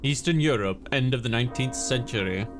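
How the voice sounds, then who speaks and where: Casual young man streaming, mid-pitched voice. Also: brisk, faint music behind. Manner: musing aloud, informal. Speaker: video game streamer. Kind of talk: casual chat, let's play commentary.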